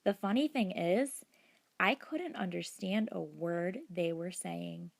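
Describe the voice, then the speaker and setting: A woman reading a children's book aloud as narrator, in a deliberate, expressive storytelling manner. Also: lowish voice, creaky. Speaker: storybook reader.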